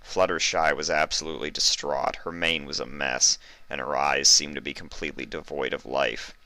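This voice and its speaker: A man narrates a story from a script. His voice is very deep yet crisp-edged and forceful, recorded dry. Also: steady tone, normal speed, no room sound. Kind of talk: scripted fan fiction narration.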